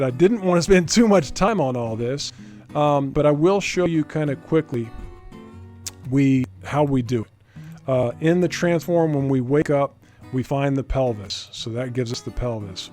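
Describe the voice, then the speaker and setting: A man's voice: mid-range voice, measured pace, a little mellow, soft background music. A man giving an informal, explanatory screen-recorded tutorial as a game developer, thinking aloud as he goes. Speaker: game developer presenting a software demo.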